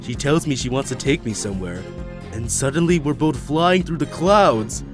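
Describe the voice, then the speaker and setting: A man's voice: medium pitch, lively intonation, normal speed, natural voice. A man voicing a spacey, laid-back male yak witness with a drawling, dreamy way of talking. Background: background music.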